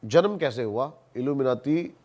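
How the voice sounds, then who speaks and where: A man's voice: mid-range voice, expressive, moderate pace, darkish tone. A man in casual podcast conversation, telling stories and explaining things emphatically, repeating words for stress. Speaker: podcast guest.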